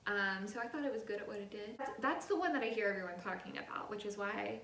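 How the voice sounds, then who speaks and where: Bright-voiced woman chatting in an echoey room. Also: medium pitch, vocal fry, recorded from a distance. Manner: informal, halting, with frequent "like". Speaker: guest co-host on a book vlog. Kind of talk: casual chat between friends about books.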